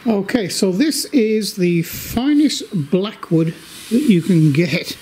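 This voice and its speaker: An older man giving a casual update from his home workshop, talking slowly with lots of ums and restarts while holding the camera. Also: high voice, big pitch swings, a little mellow, slight background noise. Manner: slow, rambling, many fillers. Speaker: workshop video maker.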